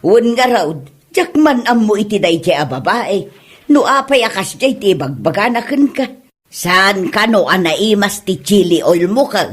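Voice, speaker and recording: A woman playing a kindly older village auntie in a comedy audio drama, with familiar, drawn-out exclamations, in Ilocano. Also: medium pitch, lively intonation, breathy edge. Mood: puzzled, gossipy.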